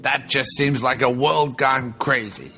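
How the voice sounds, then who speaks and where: Guy joking through a movie commentary, mid pitch, faint music behind. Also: unhurried, gravelly, pushed and bright. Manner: joking, riffing, mock-quoting characters. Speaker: podcast co-host. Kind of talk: casual movie commentary with jokey put-on voices.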